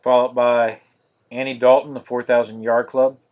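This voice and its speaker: Monotonous man calling out cards. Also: mid-range voice, normal speed, lo-fi sound. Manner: monotonous, steady listing of cards. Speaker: card break host. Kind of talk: live-streamed card break commentary.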